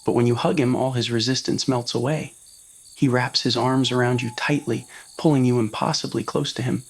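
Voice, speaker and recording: Casting: narrator with a medium-low, unclear-gendered voice for scripted romantic roleplay fiction. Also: fairly even intonation, normal speed. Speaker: narrator of fan roleplay audio stories.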